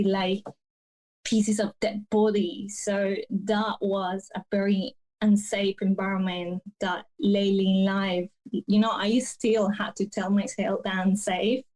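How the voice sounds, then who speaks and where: A young woman from Colombia speaks in a slow, halting way, pausing often and filling the gaps with "um" and "you know". Her voice is mellow and dark, and her pitch stays flat and even as she tells her story as a podcast guest. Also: rough recording. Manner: slow, soft-spoken, halting with many fillers. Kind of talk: personal storytelling interview.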